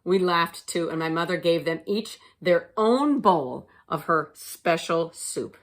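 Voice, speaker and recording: A woman teacher reading a children's storybook aloud with deliberate, expressive phrasing for young listeners. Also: fairly low pitch.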